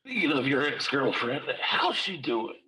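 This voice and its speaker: A man's voice: medium pitch, sing-song, moderate pace, sharp tone, husky, rough recording. A man reading comic dialogue in a casual amateur group read-through.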